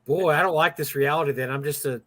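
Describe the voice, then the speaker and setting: A man's voice: a little high, fast, sharp tone. Rambling sixty-year-old man. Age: 60s. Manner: rambling, repeats words when starting. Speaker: podcast co-host. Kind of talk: casual chat.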